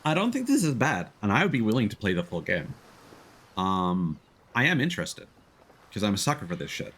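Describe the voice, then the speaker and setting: A man's voice: fairly low pitch, huge pitch swings, a little fast, fairly bright tone, slightly rough. A man giving casual live gameplay commentary as a streamer, rambling and restarting his sentences mid-thought.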